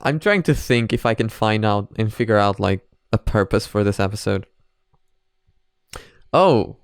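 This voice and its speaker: A man's voice: on the low side, measured pace, clear, resonant tone, no room sound, studio quality. A man giving casual, off-the-cuff reaction commentary, rambling and hesitant as he thinks aloud. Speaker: host of a reaction video.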